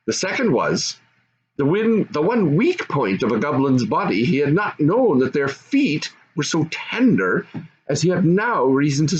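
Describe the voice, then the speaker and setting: A man's voice: a little high, lo-fi sound. An older man reading a children's storybook aloud as narrator. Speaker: storybook reader.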